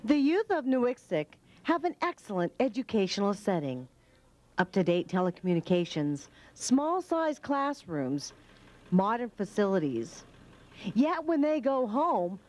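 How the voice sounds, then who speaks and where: A woman host giving scripted narration for a news feature, in a slow, deliberate delivery. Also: on the high side, sing-song, a little mellow.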